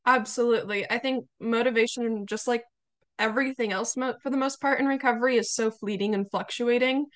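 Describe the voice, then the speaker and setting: A young woman hosting a podcast interview, her voice slightly hoarse, chatting casually with many fillers. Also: fairly high pitch, fairly even intonation, brisk. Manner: chatty, warm hesitations with fillers. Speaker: podcast host.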